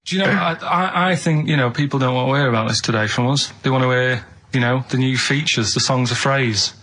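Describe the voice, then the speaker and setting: A man's voice: medium pitch, brisk, bright, forceful tone, slight background noise. A man presenting a quiz feature on a radio show in a casual, chatty way.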